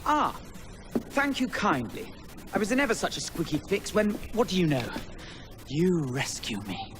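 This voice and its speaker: An actor playing a cheeky Victorian carnival rogue with a London accent, theatrical and mock-polite, in a video game cutscene. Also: male voice, high voice, wildly swooping pitch, normal speed. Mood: jaunty gratitude. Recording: noisy game scene ambience.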